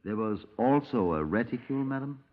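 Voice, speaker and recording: An actor playing a formal, dry Scotland Yard detective with a British accent, deliberate in speech, on an old 1950s radio drama recording. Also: male voice, mid-range voice, animated, phone-quality sound, natural voice. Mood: questioning, concerned. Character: measured, dry Scotland Yard detective narrating his case. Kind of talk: 1950s radio police drama acting and narration.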